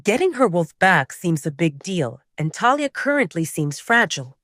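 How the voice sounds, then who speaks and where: A woman's voice: lowish voice, big pitch swings, moderate pace, fairly bright tone, no echo, studio quality. Woman voicing a commanding, protective male werewolf leader. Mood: firm, protective.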